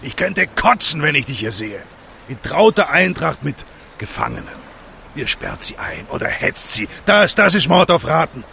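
A man's voice: fairly high pitch, huge pitch swings, moderate pace, vocal fry, sharp tone, slight background noise, full character voice. A man playing a huge, provocative eco-activist in an audio drama, goading and sarcastic with emphatic delivery. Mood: accusing.

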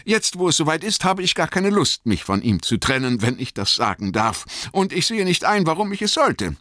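A man's voice: on the high side, big pitch swings, normal speed, pushed and bright, no room sound, clean studio sound, pitched above the performer's own voice. A man voicing an elderly hobbit in an audiobook, possessive, irritable and suspicious, with a stammering, querulous manner. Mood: defensive, suspicious.

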